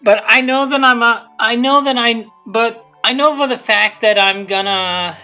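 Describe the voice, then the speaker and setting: A woman with a bright, fairly high voice talks off the cuff over a video. She rambles, backs up and restarts her sentences. The sound is dull and lo-fi, with music playing underneath. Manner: rambling, halting, repeats and restarts sentences often. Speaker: creator of a fan abridged series giving commentary. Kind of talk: casual unscripted video commentary. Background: music from the video being commented on.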